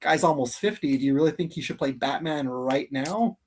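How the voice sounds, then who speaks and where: A man's voice: a little high, normal speed, slight background noise. A man hosting a casual geek countdown stream, talking in an informal, emphatic, opinionated way. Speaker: host of a geek countdown livestream.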